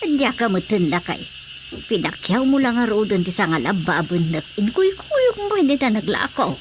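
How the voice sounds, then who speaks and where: A woman playing an excitable, scolding comic villager in a Filipino radio drama. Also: medium pitch, normal speed, music in the background, close to an everyday voice.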